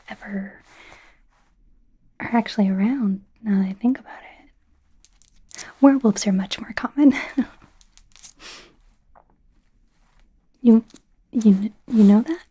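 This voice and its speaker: A woman playing an eager, wry young werewolf medical student in a whispered ASMR roleplay, chatty and a little hesitant. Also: mid-range voice. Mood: explaining, casual. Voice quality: breathy, soft whisper. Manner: chatty, hesitant, gently sarcastic, giggly.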